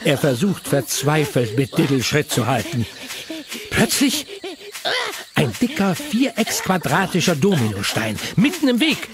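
A man's voice: a little high, huge pitch swings, measured pace, crowd noise. A man narrating a children's audio play as a playful, dramatic storyteller.

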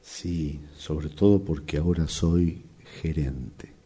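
Un actor con acento rioplatense que interpreta en un radioteatro a un jefe engreído y vengativo, de tono sardónico y condescendiente. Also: male voice, a bass voice, mellow, slightly rough, exaggerated voice. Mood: cool, smug. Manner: sardonic, deliberate, condescending. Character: smug vindictive male boss, former errand boy. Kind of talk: radio drama acting.

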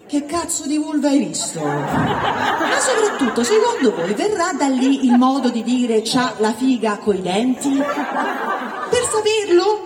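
High-voiced woman hosting stand-up, echoey hall. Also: a little mellow. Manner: sardonic, colloquial. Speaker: stand-up comedy host. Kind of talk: live stand-up comedy monologue. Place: live venue with ambience behind. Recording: echoey hall, distant mic, faint noise.

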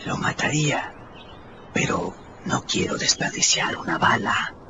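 An actor playing a cold, menacing invisible man in a radio drama, speaking in a breathy, whispery rasp with deliberate threat. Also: on the high side, huge pitch swings, background noise. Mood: threatening. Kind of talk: Spanish-language radio drama acting. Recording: dull sound with music underneath.

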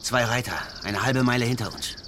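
An actor playing a loyal, troubled young scout who speaks tersely and hesitantly in a German radio play. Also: male voice, medium pitch, fairly even intonation, fairly quick, background noise, natural voice. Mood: alert.

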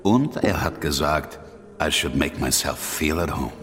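A self-assured American man in a radio play. His voice is extremely deep, and he speaks in a wry, easygoing manner. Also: expressive, unhurried, fairly bright tone, exaggerated voice.

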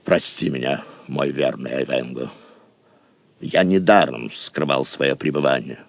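Audio drama king: steadfast, noble, stately, slow delivery in a dry close recording. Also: a bass voice, vocal fry, no echo, heavily acted. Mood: solemn, reassuring. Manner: stately, commanding. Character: Richard the Lionheart, hidden king, noble and steadfast. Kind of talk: Russian audio drama acting.

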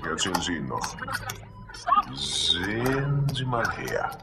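An actor playing a stern, suspicious supervisor in a radio drama. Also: male voice, sits low, big pitch swings, slow, over loud music, heavily acted. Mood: stern, skeptical. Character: stern, suspicious male supervisor checking on a scientist.

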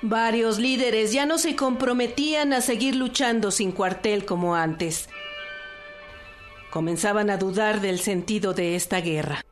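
A woman's voice: mid-range voice, a little slow. A woman with a Mexican accent narrating history from a script in a radio docudrama. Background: music.

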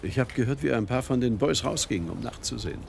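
An actor playing a sociable, inquisitive neighbour in a radio play, chatty and probing. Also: male voice, medium pitch, animated, quick pace, vocal fry. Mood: sociable, curious. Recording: faint outdoor ambience noise.

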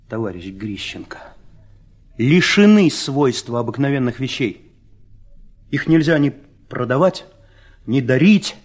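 An actor playing an earnest young police chief of eighteen in a drama, formal and pedantic. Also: male voice, medium pitch, husky. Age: young adult. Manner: formal, officious.

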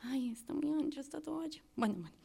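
A woman's voice: high-pitched, brisk, creaky, mellow. A woman acting a chirpy, scatterbrained housemate in a radio drama, chattering and repeating words. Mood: eager, coaxing. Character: scatterbrained, chirpy housemate fussing over towels and doors.

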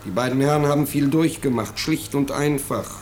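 An actor in a 1950s German radio drama playing a pompous, nervous householder who is eager to please, blustering and stammering when uneasy. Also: male voice, mid-range voice, moderate pace, darkish tone, natural voice. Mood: fussy, bossy.

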